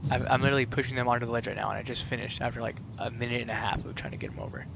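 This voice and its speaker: A man's voice: mid-range voice, rapid-fire, vocal fry. Chatty young man with noisy mic. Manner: rambling, filler-heavy, animated gamer talk. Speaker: Minecraft let's play host. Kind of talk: casual gaming chat over voice call.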